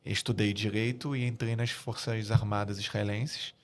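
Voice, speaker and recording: A man in his forties from Rio de Janeiro with a Carioca accent, answering as a guest in a podcast interview, earnest and measured with frequent hesitations. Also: on the low side, little pitch movement. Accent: Rio de Janeiro Brazilian Portuguese. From Brazil (Rio de Janeiro). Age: 40s. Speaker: guest, military spokesperson.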